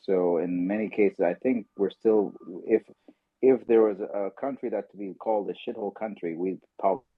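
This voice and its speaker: A man's voice: low-pitched, breathy edge, no room sound. A man hosting a casual podcast chat on an audio call, talking loosely and telling stories with acted-out voices. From South Sudan. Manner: chatty, acts out voices when telling stories. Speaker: podcast host. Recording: dry tinny phone-line sound.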